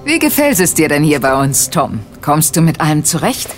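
An actress playing a kind, caring nun who runs a clinic in a German radio play, gentle and concerned in manner. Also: female voice, low-pitched, very animated, normal speed, faint music behind.

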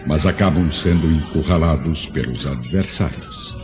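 A man's voice: very deep voice, normal speed, a little mellow. A man narrating a radio drama as a solemn storyteller, with dramatic scripted delivery. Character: solemn storyteller of an ancient epic. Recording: dull lo-fi radio drama, music behind.

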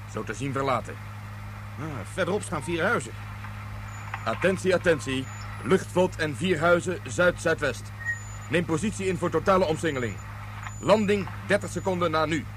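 An actor playing a brisk military officer who reports and gives orders in a clipped, commanding way in a radio drama. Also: male voice, fairly high pitch, expressive, noisy background, close to an everyday voice.

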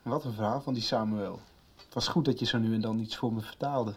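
A man's voice: lowish voice, fairly even intonation, fairly quick, husky. An actor playing a reflective man in a conversational radio soap. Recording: faintly noisy 1990s radio recording.

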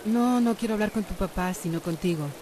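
A woman acting a bold, reassuring hairdresser in a radio drama. Her mid-pitched voice is expressive and soothing, with clear noise behind it. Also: fairly quick, close to an everyday voice. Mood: urgent.

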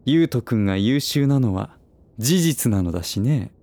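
A man playing a suave, middle-aged gentleman who teases with smug, playful condescension in a voice drama. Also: mid-range voice, animated, a little slow, dry recording. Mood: teasing. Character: suave teasing older man, playfully villainous. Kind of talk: voice drama acting.

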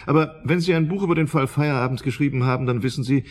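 An actor playing a sardonic, patronising psychiatric expert in a German radio drama, with blunt, colloquial sarcasm. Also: male voice, mid-range voice, fast talker, lightly acted. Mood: condescending.

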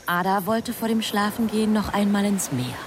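A woman playing a composed angel who narrates in a measured, politely didactic way in a radio drama. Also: mid-range voice, background noise, lightly acted. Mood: composed, explanatory. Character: composed angel reporting to an impatient superior.

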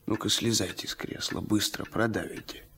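An actor playing a genial, mildly grumbling elderly grandfather with a husky voice in a Russian radio drama. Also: on the low side, steady tone, moderate pace, a bit bright, full character voice. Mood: mild, amused grumbling. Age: older. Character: genial, grumbling old grandfather roused from nap.

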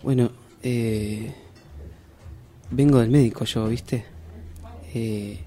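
A man's voice: medium pitch, fairly even intonation, mellow. A man with an Argentine accent playing a hesitant, uneasy husband in an amateur radio drama. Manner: halting, hesitant. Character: hesitant, uneasy husband breaking bad news.

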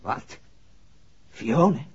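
An actor playing a grumbling, defensive young playboy star with a husky voice and a hesitant, indignant manner, in 1960s radio drama style. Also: male voice, mid-range voice, lively intonation, drawn-out words, a little mellow, exaggerated voice. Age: young adult. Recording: dull 1960s radio recording.

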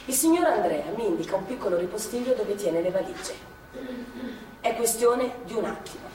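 An actress playing a woman partisan who was on the run, recounting in a measured, sober manner. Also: medium pitch, animated, distant mic, natural voice. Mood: grave. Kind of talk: stage narration. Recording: echoey stage from afar with noise.

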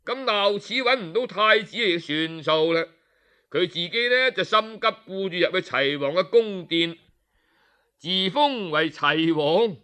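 A man narrating a historical tale in traditional oral storytelling style, with a measured, dramatic delivery. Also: high voice, animated, bright, forceful tone, smooth.

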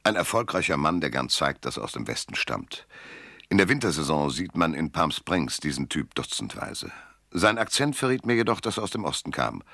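Laconic detective, very low, gravelly, sardonic, noir radio play narration. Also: male voice, pushed and bright, full character voice. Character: hard-boiled, wry male private detective and first-person narrator. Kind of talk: German radio drama, noir narration and dialogue.

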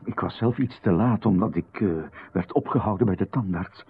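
A man's voice: fairly low pitch, normal speed, husky. A man with a Flemish accent, playing a polite, hesitant playwright in a radio drama who is easily flustered. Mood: polite unease. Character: polite, flustered male playwright, anxious to please. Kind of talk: 1990s radio drama acting. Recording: dry, narrow, phone-like radio broadcast.

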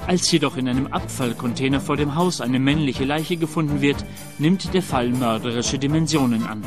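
A male announcer giving the series title and a formal recap of the earlier episodes. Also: mid-range voice. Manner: measured, formal. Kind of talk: radio play announcement and recap. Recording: voice over music.

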